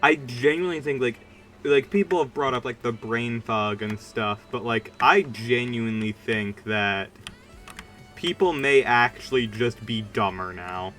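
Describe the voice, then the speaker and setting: Bright-voiced streamer chatting over music. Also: medium pitch, unhurried. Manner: rambling, informal, emphatic. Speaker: livestreamer. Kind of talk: casual livestream chat while gaming.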